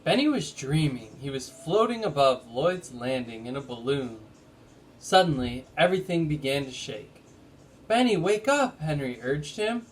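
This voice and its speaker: A man's voice: medium pitch, expressive, normal speed, low-quality recording. A man who talks casually and reads a children's story aloud like a teacher. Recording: noticeable background noise.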